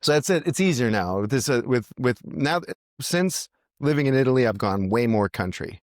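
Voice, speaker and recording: A man's voice: medium pitch, lively intonation, normal speed, dry recording, clean studio sound. A man, a musician, chatting casually in a podcast, thinking aloud with frequent restarts. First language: English. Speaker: musician guest.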